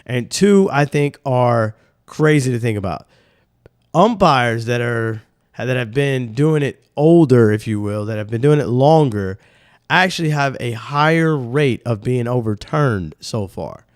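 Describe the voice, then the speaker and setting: A man's voice: medium pitch, measured pace, no echo, studio quality. Slightly nasal man, casual sports talk. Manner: loose, animated, rambling with slang. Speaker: sports podcast host.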